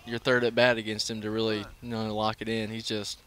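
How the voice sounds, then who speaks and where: A young man answers postgame questions on a headset mic at a night ballpark. His voice is low, smooth and quite flat, and he keeps stopping, restarting and filling gaps with "you know". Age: young adult. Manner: halting, many fillers and restarts. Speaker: college baseball player. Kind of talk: postgame interview.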